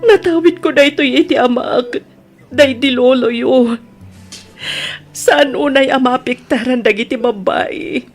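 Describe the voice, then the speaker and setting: A woman's voice: high voice, measured pace. A woman playing a loving, anguished mother in a radio drama, with a plaintive, emotional delivery. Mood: pained, pleading. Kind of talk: tearful radio drama acting. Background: music.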